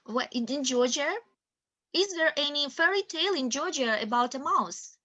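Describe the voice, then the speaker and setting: A middle-aged woman with a Russian accent speaks in a casual group discussion as facilitator, halting and repeating words as she searches for English. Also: sits high, lively intonation, bright, forceful tone.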